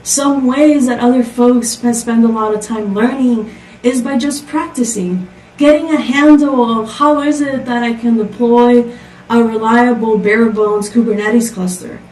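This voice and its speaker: Woman from costa rica giving a tech talk, kinda high voice, sounds far off in an echoey room. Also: steady tone, a little slow, darkish tone. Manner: upbeat, rhetorical questions. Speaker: conference speaker. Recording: echoey, distant from the mic.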